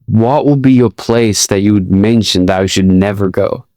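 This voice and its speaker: A man's voice: lowish voice, normal speed, darkish tone, dry recording, studio quality. A man hosting a casual interview, asking friendly questions with many fillers and hesitations. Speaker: host.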